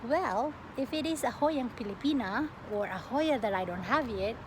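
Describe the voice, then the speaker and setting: A young woman from the Philippines chatting casually as a plant vlogger, expressive and often breaking off mid-sentence. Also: fairly high pitch, moderate pace. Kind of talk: casual get-to-know-me question-and-answer chat. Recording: clearly noisy home recording.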